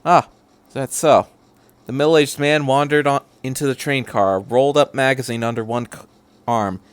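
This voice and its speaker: A man narrates a written story in a medium-pitched voice. The recording is dry, with very little room around him, and he reads as the host of a fan fiction podcast. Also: fairly even intonation, a little fast, clear, resonant tone, dry recording.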